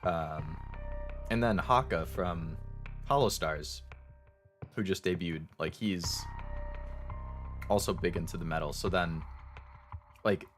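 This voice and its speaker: A young man streaming with a sore throat, chatting with viewers in a relaxed, rambling way full of fillers. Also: low voice, slow pace, pauses often, faint music behind. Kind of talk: casual chat with viewers.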